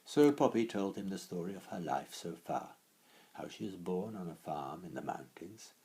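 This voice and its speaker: A man narrating a story expressively and deliberately, with dramatic pauses, as an author reading his own work. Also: low voice, lively intonation, warm tone, husky.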